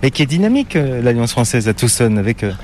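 A man's voice: mid-range voice, big pitch swings, quick pace, darkish tone, a little hiss or hum. A man conducting a casual interview in an informal, conversational way, prompting with questions.

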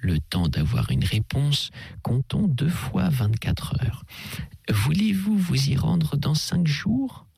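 A kindly older priest, put on by a male reader, who reassures in a breathy near-whisper, his voice lifted a little above the reader's own, with a gravelly edge. He speaks slowly and patiently. The recording is close and dry. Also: fairly high pitch, big pitch swings, no echo, pitched above the performer's own voice, full character voice. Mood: gently persuasive. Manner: soft, half-whispered reassurance. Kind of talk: audiobook character voice.

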